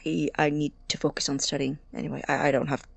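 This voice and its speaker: An actor playing an awkward, anxious young male college student in an audio drama, halting and rambling, with a creaky voice. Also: mid-range voice, little pitch movement, fairly bright tone, no echo. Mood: defensive, awkward. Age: young adult. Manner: halting, stammering, rambling, nervous laughs. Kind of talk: audio drama acting as a personal voice recording.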